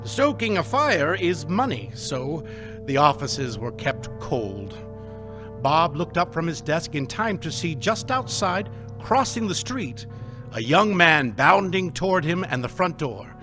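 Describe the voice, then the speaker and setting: A man narrating a live radio play with deliberate, emphatic storytelling. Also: a little high, sing-song, over music.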